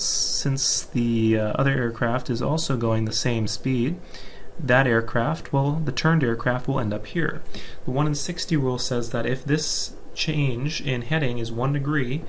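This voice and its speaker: A man's voice: mid-range voice, a little hiss or hum. A man giving an informal explanatory lesson as an instructor, going step by step and often checking in with "okay".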